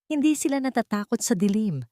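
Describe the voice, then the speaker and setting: A woman voicing a gentle, protective young housemaid in an audio story, tender and soothing as she comforts frightened children. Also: fairly high pitch, expressive, brisk, dry recording, clean studio sound, pitched above the performer's own voice, close to an everyday voice.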